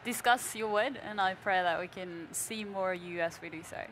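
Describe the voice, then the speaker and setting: A young woman from Norway, a panelist, saying a quick, quiet prayer. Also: mid-range voice, a little hiss or hum. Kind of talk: spoken prayer.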